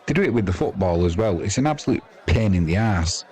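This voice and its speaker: A man with an English accent and a deep voice hosts a live cricket stream. He talks casually and off the cuff, in a matey, rambling way. A faint crowd sits behind his voice. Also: lively intonation, fairly quick, darkish tone. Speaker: live sports stream commentator and host. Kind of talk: casual ad-libbed live cricket commentary and chat.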